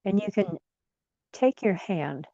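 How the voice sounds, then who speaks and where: A woman leading guided imagery in a soothing, gentle manner, leaving long pauses between phrases. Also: medium pitch, lively intonation, no echo, highs cut off. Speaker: guided meditation leader.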